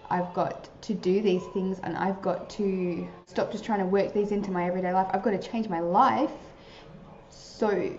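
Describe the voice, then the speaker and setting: A young woman speaks in a casual, earnest advice talk, her speech dotted with fillers. Her voice is soft and mellow. The recording sounds roomy and a little dull. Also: medium pitch, fairly even intonation, normal speed, faint music behind. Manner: earnest, chatty, many filler likes. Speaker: vlogger giving advice to new converts.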